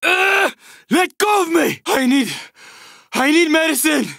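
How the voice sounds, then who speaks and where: High, forceful young zombie-game survivor. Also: male voice, expressive, unhurried, gravelly, full character voice. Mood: urgent, alarmed. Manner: terse, urgent, forceful callouts. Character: urgent young male survivor fighting zombie hordes. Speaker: video game voice actor. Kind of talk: video game combat barks.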